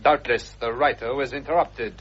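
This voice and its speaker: A man's voice: mid-range voice, fairly even intonation, moderate pace, breathy edge, close to an everyday voice. An actor playing a theatrical, dapper male detective, speaking in a grand, declamatory way, from a dull old radio drama recording. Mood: grandiose. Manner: grand, declamatory, savoring the reveal. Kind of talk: 1950s radio drama acting.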